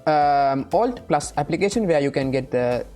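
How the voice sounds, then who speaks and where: A woman hosting an informal step-by-step software tutorial, often checking in with the listener as she explains. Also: deep voice, expressive, normal speed, soft background music. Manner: frequently checks in with "right?". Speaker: tutorial host.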